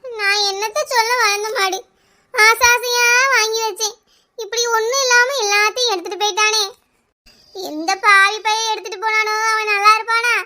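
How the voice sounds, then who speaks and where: A woman's voice: very high-pitched, fairly even intonation, pushed and bright, full character voice. A woman playing a distraught village wife in a comic cartoon, lamenting melodramatically in a southern Tamil Nadu accent. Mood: wailing, grieving. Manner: wailing, drawn-out lamenting.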